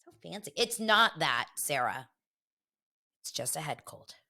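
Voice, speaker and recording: A woman's voice: low voice, big pitch swings, bright, forceful tone. A middle-aged woman hosting her podcast in a casual, gossipy chat, a little congested from a head cold. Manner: chatty and gossipy, quick asides. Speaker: podcast host.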